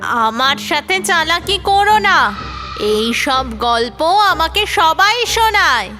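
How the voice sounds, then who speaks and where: Lady playing a parched spooky witch ghost, super high and slow, cartoon music bed. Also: big pitch swings, bright, forceful tone, heavily acted. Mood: menacing. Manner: pleading and menacing by turns, slow. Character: thirsty female ghost witch, desperate and threatening. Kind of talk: cartoon voice acting. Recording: music underneath.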